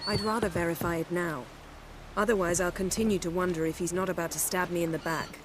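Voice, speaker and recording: Woman acting a low-voiced, imperious sorceress. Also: steady tone, fast, noisy background, close to an everyday voice. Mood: suspicious. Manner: crisp, imperious, formal phrasing. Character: commanding suspicious adult sorceress, haughty, sharp-tongued. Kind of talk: video game cutscene acting.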